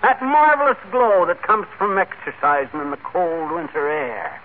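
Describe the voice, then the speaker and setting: A folksy husband spins boastful tall tales in a high, swooping voice. The delivery is 1940s radio comedy, heard through a tinny vintage broadcast with some hiss. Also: male voice, very animated, normal speed. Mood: grandiose relish.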